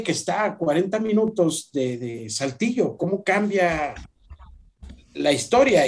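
A man, a professor chatting in an anecdotal way with frequent fillers. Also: sits high. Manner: lively, anecdotal, many fillers. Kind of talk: conversational discussion.

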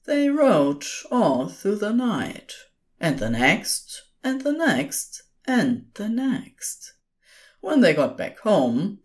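Low-voiced, expressive woman storyteller. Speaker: narrator. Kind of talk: scripted storytelling narration of audio fiction.

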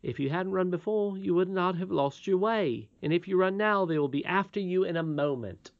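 A woman's voice: fairly low pitch, normal speed, no room sound, muffled recording, voice pushed up, lightly acted. A woman reading a storybook aloud, voicing a confident, cheerful twelve-year-old miner boy with a frank, matter-of-fact manner.

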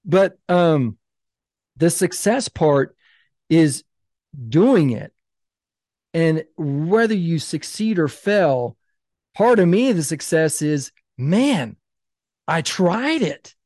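A man in his fifties, a podcast guest and author, talking in an animated, emphatic storytelling way. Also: fairly high pitch, extremely slow, long pauses, no echo, studio quality. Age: 50s. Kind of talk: casual podcast conversation.

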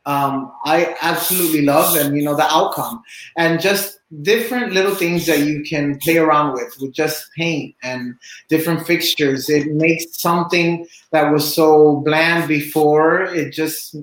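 A man's voice: a little high, fairly even intonation, a little slow, roomy sound, a little hiss or hum, poor audio. A young man from Cuba, a bartender and real estate agent, chatting in an upbeat way with many fillers in an interview. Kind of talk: casual interview answers.

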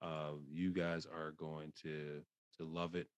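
A man with a low voice, recorded dry and close with little room sound. He hosts casually, speaking conversationally to a guest and to listeners. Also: flat delivery, takes it slowly, smooth, dry recording. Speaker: podcast host.

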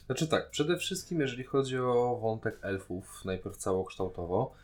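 Brief: male podcast co-host, ordinary mid pitch, informal conversational opinion delivery. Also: expressive, moderate pace. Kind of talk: casual opinion discussion.

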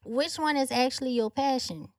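A woman's voice: high voice, moderate pace, dry recording. A woman co-hosting a casual chat, asking the guest questions and cheering her on. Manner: encouraging, hypes the guest. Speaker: co-host.

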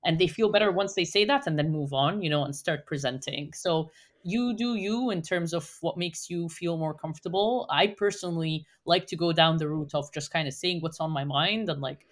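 A woman guest on a podcast, chatting in an animated way and using plenty of fillers like "like" and "you know". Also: deep voice, normal speed. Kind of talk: casual podcast conversation.

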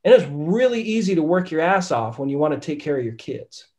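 A man, a real estate investor and podcast guest, telling his story candidly in a casual interview, his voice raspy from illness. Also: fairly high pitch, sing-song, fairly quick, a little mellow. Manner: candid, says "right?" often.

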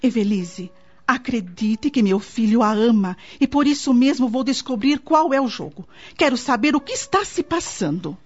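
Woman acting husky-voiced Brazilian mother-in-law, genteel and caring. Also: fairly high pitch, lively intonation, darkish tone. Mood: reassuring, firm. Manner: theatrical, measured, motherly. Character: caring, protective middle-aged mother-in-law, genteel society matron. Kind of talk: radio soap opera acting. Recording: dull lo-fi radio drama, music underneath.

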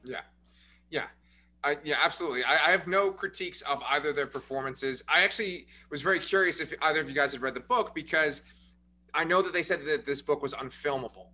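A man's voice: on the high side, fast talker, pushed and bright. A man co-hosting a casual movie review, eagerly agreeing and sharing his thoughts. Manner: eager, agreeing, thinking aloud. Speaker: podcast co-host.

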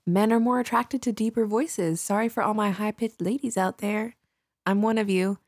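A woman's voice: medium pitch, fairly even intonation, normal speed, a little mellow, dry recording. A young woman co-hosting a podcast on a studio mic, talking animatedly and laughing often. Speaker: podcast co-host. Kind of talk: casual chat.

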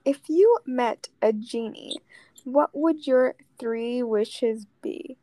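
A young woman co-hosting a podcast, chatting casually and telling quick stories with asides. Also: a little high, mellow, no echo. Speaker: podcast co-host. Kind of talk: casual chat.

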